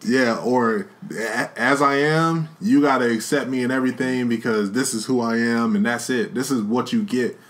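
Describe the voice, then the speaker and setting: A man's voice: mid-range voice, normal speed, hardly any pauses. Man, podcast co-host, casual chat, slangy, earnest, soon to be a dad. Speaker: podcast co-host, expecting father.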